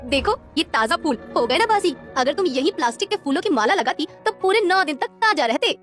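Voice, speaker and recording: Female performer as boastful young rich woman, high voice, sneering, haughty, cartoon dialogue, music behind. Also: very animated, brisk, fairly bright tone. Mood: scornful. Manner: haughty, mocking. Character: boastful rich young woman, scornful of her poor friend. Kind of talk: Hindi cartoon moral-story dialogue.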